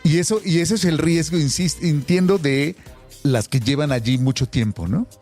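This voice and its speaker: A man's voice: fairly high pitch, moderate pace, a little mellow, soft background music. A man hosting a podcast interview, chatty and affirming, who often answers with short agreements and asks his questions in a loose, searching way. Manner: affirming, many "claro" and "ajá" responses. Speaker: podcast host.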